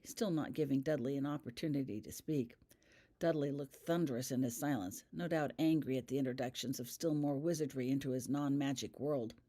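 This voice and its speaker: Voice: mid-range voice, warm tone. An author reading their own fan fiction as scripted audiobook narration.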